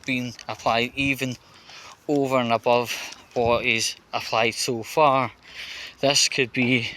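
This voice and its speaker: A man giving off-the-cuff political commentary outdoors on a busy street, halting and emphatic, often repeating words. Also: medium pitch, pushed and bright. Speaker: vlogger. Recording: noisy street ambience. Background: street noise.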